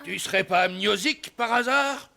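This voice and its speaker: A man's voice: high voice, moderate pace, creaky, pushed and bright, full character voice. A man voicing a dim, stingy middle-aged husband in a cartoon. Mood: suspicious, grumbling.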